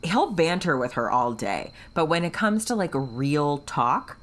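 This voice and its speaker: A woman's voice: low voice, very animated, a little slow. A woman co-hosting a book podcast, talking casually in an emphatic, gushing, sweary way. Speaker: book club podcast co-host.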